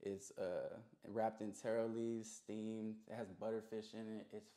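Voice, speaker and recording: A young man with a fairly low voice talks casually as an interview guest. His speech is slangy and leans on emphatic repetition. The recording has a clear room echo. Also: little pitch movement, a little slow, warm tone. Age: young adult. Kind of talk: casual chat. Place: small recording studio. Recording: roomy indoor echo.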